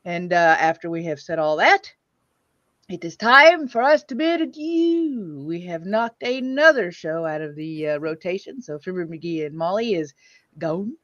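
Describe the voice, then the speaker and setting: A woman's voice: fairly low pitch, sing-song, a little slow, fairly bright tone, no room sound. Woman hosting a stream, slightly dull audio. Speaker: host of an online old-time radio stream. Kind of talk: casual livestream chat.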